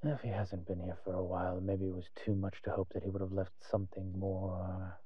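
A man playing a wry, cautious vampire father in an audio roleplay, speaking in a measured, musing way to the listener. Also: sits low, monotone, no room sound, lo-fi sound. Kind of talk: scripted audio roleplay acting addressed to the listener.

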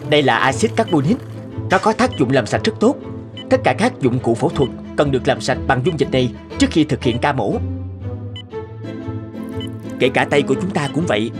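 A man voicing an earnest, thoughtful young doctor in a dubbed educational cartoon, speaking expressively and deliberately. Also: mid-range voice, close to an everyday voice. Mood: firm, instructing. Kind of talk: cartoon dubbing, educational storytelling. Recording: music bed under dubbed dialogue. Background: background music.

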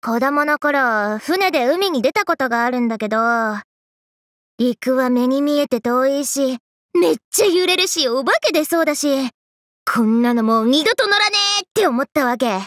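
A woman's voice: high-pitched, expressive, moderate pace, a bit bright, no room sound, clean studio sound. A woman voicing a brash, playful, hyper young woman from an anime game, with cocky, slangy, teasing delivery. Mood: reminiscing. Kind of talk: Japanese anime game character voice lines.

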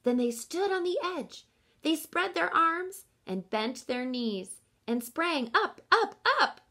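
A woman's voice: sits high, slow pace. A woman in her thirties reading a picture book aloud as a teacher, expressively, stopping to explain and question young listeners directly. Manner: expressive, instructive, addressing children directly.

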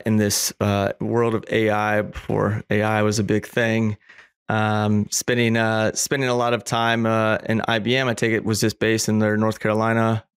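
A young male host asks questions over a video call, his voice somewhat low, level and smooth. The sound is dry and close, and he pauses often with fillers. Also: no echo. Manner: halting, filler-heavy questions. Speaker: podcast host. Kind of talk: casual interview questions. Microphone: studio mic on video call.

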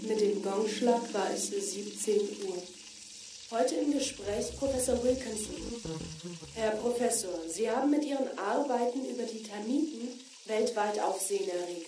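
A woman's voice: a little high, moderate pace, close to an everyday voice. A woman playing a formal radio announcer and interviewer in a German radio drama. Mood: formal, composed. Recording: distant, echoey, hissy radio broadcast.

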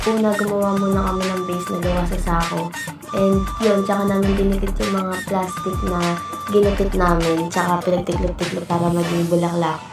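A woman, a model contestant, chattily and informally explaining how her outfit was made. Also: mid-range voice. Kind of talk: casual voice-over explaining an outfit. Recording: echoey, distant, loud music. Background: loud music.

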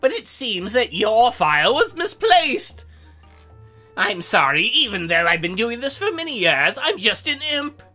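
A man voicing a lonely, prim young female demon imp in a visual novel game, earnest and halting. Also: very high voice, big pitch swings, sharp tone, soft background music, full character voice. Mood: flustered.

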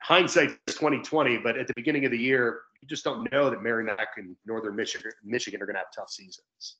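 Young male sports broadcaster, mid-pitched, chatty and explanatory, guesting over a video call. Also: fairly even intonation, fast, sharp tone, highs cut off. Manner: talkative, explanatory. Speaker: sports play-by-play commentator as guest. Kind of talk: conversational sports interview. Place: indoor room at home, video call.

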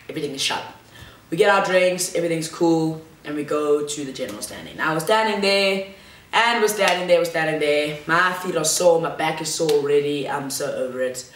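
A woman's voice: sits low, a little hiss or hum. A young woman tells a chatty, slangy storytime vlog, seated in a large living room and filmed by a camera across the room. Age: young adult. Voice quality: loud voice. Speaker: vlogger. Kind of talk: casual storytime monologue. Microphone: fixed camera across the room. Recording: roomy echo from the mic's distance.

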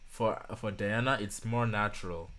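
Man, twenties, lowish voice, reaction video host, casual, musing, repeats himself, home studio mic. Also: fairly even intonation, moderate pace. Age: 20s. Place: small home studio room.

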